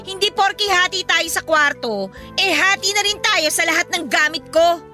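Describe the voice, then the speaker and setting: A woman's voice: very high-pitched, lively intonation, normal speed, pushed and bright, exaggerated voice. A Filipino woman acting an irritable, aggrieved elder sister in her twenties in a radio drama, scolding and exasperated. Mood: irritated, scolding. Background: music.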